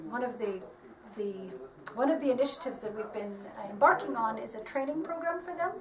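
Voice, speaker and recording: A fairly high-voiced woman explains her work earnestly in an interview, often restarting her sentences with ums. She sounds distant from the microphone in a roomy, echoey space. A faint hiss of background noise sits behind her voice. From United States. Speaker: marketing advisor. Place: workshop premises.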